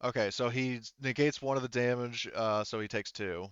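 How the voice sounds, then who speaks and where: A man's voice: mid-range voice, moderate pace, fairly bright tone, smooth, no echo, highs cut off. A man acting as game master, talking through rules and narrating a tabletop game casually, often thinking aloud.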